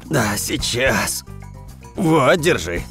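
A man playing a kindly, obliging grandfather in a dubbed children's cartoon, with a gentle, expressive delivery. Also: medium pitch, very slow, faint music behind, full character voice.

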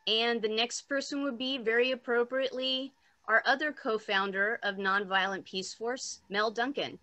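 A woman moderating an online panel, introducing speakers with brisk, friendly remarks over a video call. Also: a little high, steady tone, sharp tone.

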